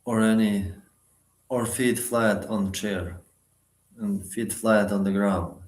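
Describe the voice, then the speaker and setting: A man leading a guided meditation, giving instructions in short, sparse phrases with long pauses between them. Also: lowish voice, fairly even intonation, roomy sound.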